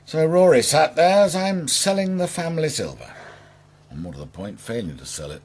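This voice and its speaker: A man with an English accent playing a wry, self-pitying older landowner with a husky voice in a radio soap. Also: a little high, lively intonation, normal speed, darkish tone. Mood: bitter. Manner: wry, bitter.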